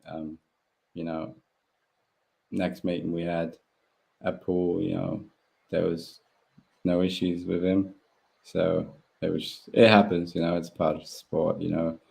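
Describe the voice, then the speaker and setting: Deep-voiced German-native man, rambling. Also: level tone, measured pace, vocal fry, pauses often, a little mellow. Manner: rambling, full of fillers and restarts. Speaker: guest speedway rider. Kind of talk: casual live interview.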